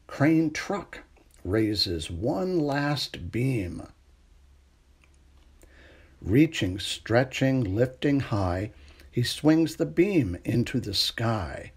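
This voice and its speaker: A middle-aged man reading a children's picture book aloud in a gentle, unhurried bedtime manner, with a husky, creaky voice. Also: mid-range voice, animated. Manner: gentle, unhurried bedtime delivery with hushed refrains. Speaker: storytime reader.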